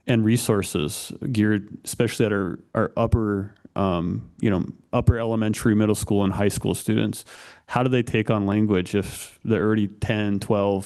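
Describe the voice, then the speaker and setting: Man, lowish voice, superintendent, earnest explaining, committee hearing. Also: a little mellow. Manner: earnest, explanatory, many fillers. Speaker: school superintendent. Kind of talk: testimony at a legislative hearing.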